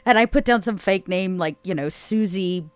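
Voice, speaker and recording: A woman's voice: medium pitch, normal speed, smooth, no room sound. A woman casually narrating her character's actions as a player in a tabletop game.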